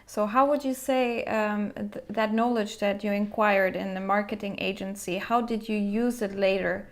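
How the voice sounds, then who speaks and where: Woman hosting a podcast, chatty interview questions, kinda feels her way through long sentences, medium pitch. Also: steady tone, normal speed. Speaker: podcast host interviewing a guest.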